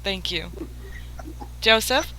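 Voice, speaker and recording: A woman's voice: mid-range voice, lively intonation, slow, bright, forceful tone, slight background noise, poor audio. A woman hosting a casual panel chat, passing questions around the group. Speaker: host.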